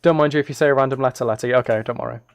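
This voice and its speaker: A man live streamer talks in a medium-pitched voice as he plays. He thinks aloud in loose, hesitant, rambling sentences, chatting casually with whoever is watching. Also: steady tone, very fast, vocal fry. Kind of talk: casual live stream chat while gaming.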